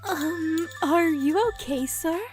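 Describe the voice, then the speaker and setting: A cute young romcom heroine with a very high, girlish voice. She takes her time over her words, sounding sweet, kind and easily flustered. Light music runs underneath her manga-dub performance. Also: slow. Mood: gentle concern. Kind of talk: anime-style manga dub acting.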